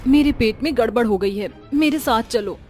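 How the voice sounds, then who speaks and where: Woman playing a high-pitched anxious village wife. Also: moderate pace, warm tone, faint music behind, close to an everyday voice. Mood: urgent. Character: frightened village wives facing a murderous witch. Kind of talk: Hindi horror story dramatization.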